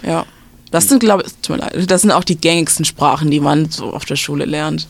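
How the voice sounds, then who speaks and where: A woman's voice: deep voice, brisk, vocal fry, no echo. A young woman from western Germany giving casual school advice, explaining at length with many fillers and restarts.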